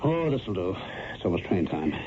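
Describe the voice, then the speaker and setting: Brooding low-voiced commuter in vintage radio drama. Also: male voice, very animated, moderate pace, background noise. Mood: calm, insistent. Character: tense, weary married male commuter absorbed in meditation. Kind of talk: 1970s radio drama acting with first-person narration. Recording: dull vintage radio recording with loud music.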